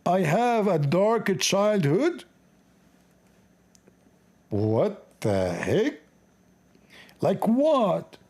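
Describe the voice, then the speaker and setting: Male, somewhat high voice, Arabic accent, informal mocking commentary, stumbling English reading, sarcastic. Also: very animated, extremely slow, long pauses, darkish tone. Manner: sarcastic, joking.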